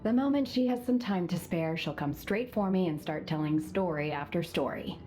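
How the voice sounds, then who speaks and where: An actress voicing an aloof, composed young woman trained by immortals, speaking in a calm, formal, measured way in a video game. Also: lowish voice, close to an everyday voice. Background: game music.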